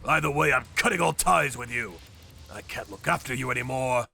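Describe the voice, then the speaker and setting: A male actor voicing an aggressive, gruff, hot-tempered man in an exaggerated crime manga dub. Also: mid-range voice, brisk, soft background music. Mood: curt, cold. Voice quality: gravelly, forceful.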